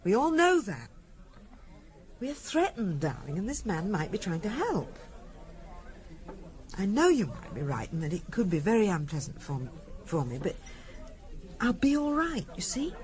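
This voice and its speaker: A woman playing an anxious but determined middle-class English wife in a 1970s radio drama, halting and pleading as she repeats her words. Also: mid-range voice, wildly swooping pitch, a little mellow.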